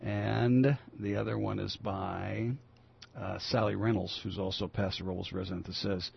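An older radio host with a deep growl in his voice, rough and husky as he rambles through his segment. He talks casually, full of fillers and restarts. The broadcast sounds dull and lo-fi. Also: steady tone, normal speed. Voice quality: growly, gravelly voice. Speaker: radio talk show host. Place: radio studio.

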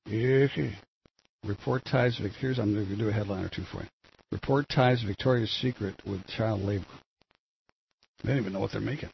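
An older man with a gravelly voice, hosting a rambling solo commentary on the news, full of fillers and restarts. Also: fairly low pitch, a little fast. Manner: meandering, many fillers and restarts. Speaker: solo show host. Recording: dull lo-fi with faint noise.

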